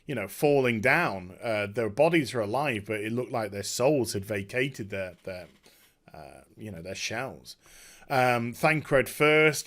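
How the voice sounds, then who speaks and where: A man talking casually and off the cuff as a video host, emphatic and excitable, often halting and repeating his words. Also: medium pitch. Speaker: video host giving a game story discussion. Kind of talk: unscripted casual rambling commentary.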